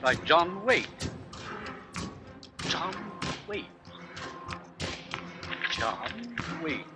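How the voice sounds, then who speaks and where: An actor playing a successful, gruffly skeptical male publisher who weighs his words in a 1950s radio drama. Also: a little high, sing-song, very slow, exaggerated voice. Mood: grudging, pondering. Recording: 1950s radio broadcast with loud music.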